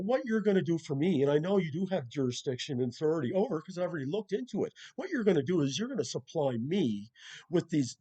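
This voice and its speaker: Insistent, combative man with a higher voice. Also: dark tone, slightly rough, rough recording. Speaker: caller. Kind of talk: confrontational phone argument with legal jargon.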